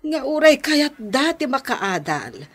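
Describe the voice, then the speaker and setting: A woman's voice: high-pitched, big pitch swings, slow pace, heavily acted. A woman playing a concerned caretaker admonishing a spoiled child in a radio drama, emphatic and scolding.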